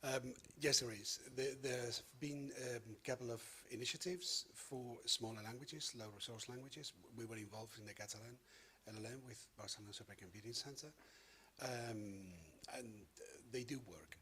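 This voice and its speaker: A man with a medium-pitched voice gives an unscripted answer. He speaks as a panelist in a discussion. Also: moderate pace, pushed and bright.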